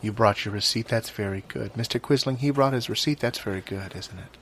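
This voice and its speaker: A man playing a decorous, placating store manager in improvised audio comedy, hesitant, measured and condescending. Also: fairly low pitch, a bit bright. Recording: clear background ambience noise.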